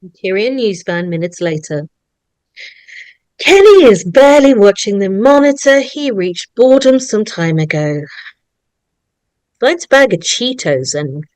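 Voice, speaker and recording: A woman's voice: mid-range voice, big pitch swings, a little slow, darkish tone, no room sound. A woman narrating at a script table read, reading out screenplay stage directions.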